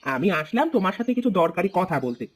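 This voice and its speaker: A man voicing a gentle, homely old village mother in a children's cartoon. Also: sits high, very animated, quick pace, a little hiss or hum. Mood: hopeful.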